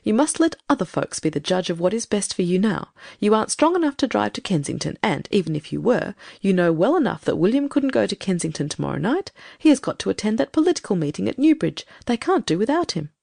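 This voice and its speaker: A self-righteous storybook farm wife, voiced by a female narrator, scolding in a creaky voice that swings widely in pitch. She is brisk, bossy and condescending, with little patience. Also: very animated, a little mellow, no echo, clean studio sound.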